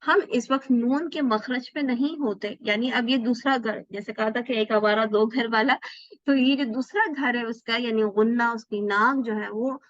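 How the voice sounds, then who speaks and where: A woman with a somewhat high voice teaches an online class, patiently walking through each rule and pausing to ask rhetorical questions. The sound is dull and dry, as over a video call. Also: no room sound. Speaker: Quran recitation teacher. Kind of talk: online class lesson explaining tajweed rules.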